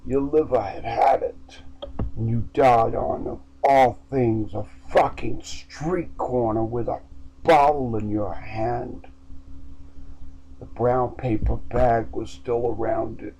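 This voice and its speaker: Slow, somber man reading a bleak story. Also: mid-range voice, steady tone, warm tone, breathy edge, poor audio. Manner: slow, somber, downbeat delivery. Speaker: storyteller reading his own short story. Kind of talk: dramatic first-person story reading.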